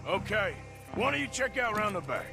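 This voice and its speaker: An actor playing a gruff, sardonic American outlaw gunslinger who talks in dry, terse jibes in a video game cutscene. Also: male voice, sits high, sing-song, moderate pace, over music, exaggerated voice.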